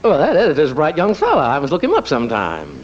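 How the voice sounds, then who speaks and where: Blustery small-town dad, pitch swinging all over. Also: male voice, wildly swooping pitch, fast. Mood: flattered, sheepish. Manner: grumbling, sputtering, exclamatory. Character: blustery, vain small-town doctor father, easily flattered. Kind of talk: 1950s radio sitcom acting. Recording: muffled, noisy old radio recording.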